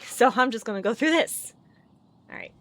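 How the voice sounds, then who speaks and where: A woman's voice: on the high side, animated, moderate pace, a bit bright. A woman game streamer chatting casually on a livestream in a rambling, giggly manner. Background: video game music.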